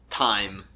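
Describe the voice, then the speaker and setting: Bright-voiced young man telling stories. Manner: storytelling, laughs often, acts out quotes. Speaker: podcast co-host. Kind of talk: casual chat.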